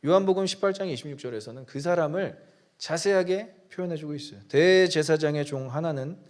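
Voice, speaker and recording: A male pastor giving a sermon, with dramatic swings between hushed asides and loud, emphatic lines, reading scripture slowly with heavy stress. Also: a little high. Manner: reads scripture aloud with slow, stressed delivery.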